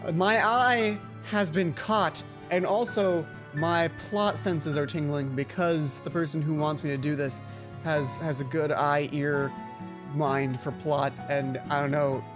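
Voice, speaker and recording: An excitable let's play commentator with a medium-pitched voice. The delivery is informal and self-interrupting, like someone reacting to a game while playing it. Game music plays behind the voice. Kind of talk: game commentary and read-aloud of a visual novel.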